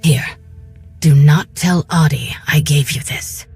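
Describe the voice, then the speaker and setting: Determined rebel woman, heavily rasped husky voice, urgent no-nonsense delivery, game cutscene drama, music behind. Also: low-pitched, takes it slowly, a little mellow, full character voice. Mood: earnest, defiant. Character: determined, blunt young rebel woman, fighting for her home. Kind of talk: video game cutscene acting.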